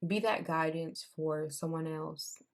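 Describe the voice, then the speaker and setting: A young woman giving a casual tarot reading. She talks in a loose, repetitive way, and her voice has gone raspy. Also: low-pitched, steady tone, takes it slowly. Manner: conversational, repeats herself, says "I'm seeing" often. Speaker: tarot reader.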